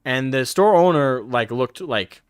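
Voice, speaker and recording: A man's voice: medium pitch, lively intonation, measured pace, clear, resonant tone, dry recording, studio quality. A man streaming casually, reading card text aloud and drifting into rambling personal stories. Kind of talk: casual live stream chat.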